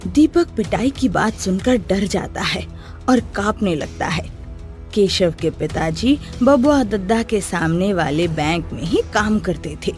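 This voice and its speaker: A woman's voice: medium pitch, animated, measured pace, a little mellow, soft background music. A woman narrating a scripted children's story.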